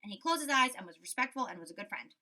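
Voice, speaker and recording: Bright, very lively woman teacher. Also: mid-range voice, very fast. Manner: expressive, checking in with "right?". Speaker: school teacher. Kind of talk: children's read-aloud with lesson commentary.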